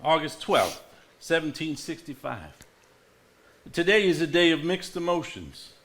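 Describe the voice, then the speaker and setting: A stage actor plays a sentimental man reading a grieving father's diary aloud. His voice sits a little high for a man. He is measured, formal and tender, in a live theatrical style. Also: very animated, a bit bright, full character voice. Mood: wistful, fond.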